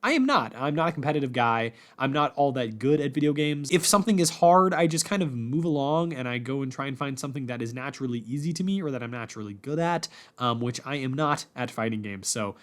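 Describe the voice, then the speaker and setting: A man hosting a podcast in a casual, chatty, informal way with animated emphasis. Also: medium pitch, fast talker, few pauses. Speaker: podcast host.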